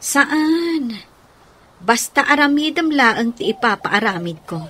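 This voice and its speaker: An Ilocano godmother in a radio play acted by a woman, friendly with a scheming side. She speaks slowly in a high voice that moves up and down with lots of life. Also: sing-song, fairly bright tone, full character voice. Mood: scheming, coaxing. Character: friendly godmother, scheming confidante of a wronged wife. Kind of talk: Ilocano radio drama acting.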